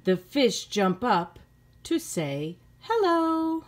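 A woman's voice: a little high, sing-song, extremely slow. A middle-aged woman reading a children's picture book aloud expressively, with simple phrasing for young listeners.

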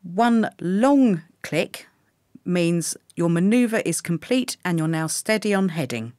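A woman reading scripted instructional narration for pilot training in a measured, clearly enunciated way. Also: fairly low pitch, lively intonation, dark tone, dry recording, studio quality.

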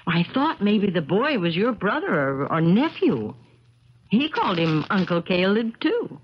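An actress playing a chatty, breezy wife in a 1970s radio drama, with a teasing, forthright way of talking. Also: female voice, mid-range voice, sing-song, unhurried, husky. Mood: gossipy. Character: chatty, breezy wife from the neighboring summer cabin. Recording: crackly, muffled 1970s radio recording.

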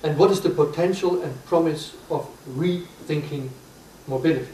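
A middle-aged man giving a measured, formal introduction to a lecture in a university room. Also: on the high side, dark tone, slight background noise. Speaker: series host introducing the speaker. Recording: echoey room, voice far from the mic.

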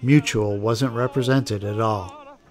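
A man's voice: on the low side, slow pace. A man reading scripted narration as the narrator of a documentary.

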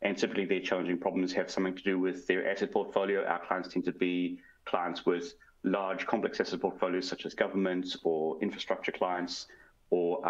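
Deep-voiced South African man on a call. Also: lo-fi sound. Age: 40s. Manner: fluent, with frequent ums and uhs, gesturing with hands. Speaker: guest, product strategy manager. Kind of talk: answering interview questions on a video call. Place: home room. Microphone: headset on video call.

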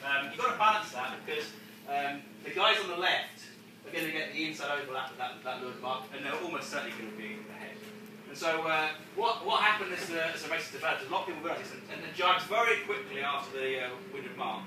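A man's voice: fairly high pitch, far from the mic. A man coaching a group of sailors through a race debrief, explaining casually with many restarts and laughs. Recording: echoey room, from afar, faint noise.

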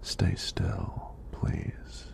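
A man playing a gentle, flirty EMT in a soothing, soft-spoken ASMR roleplay, breathy and deep, with reassuring praise. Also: very slow. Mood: soothing, attentive. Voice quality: deep, gravelly, breathy near-whisper. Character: gentle, flirty hunky male EMT examiner.

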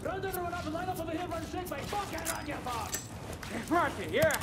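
An actor playing a frantic, foul-mouthed field medic in a video game, barking agitated orders. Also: male voice, extremely high pitch, lively intonation, a little fast, heavy background noise. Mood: frantic, commanding.

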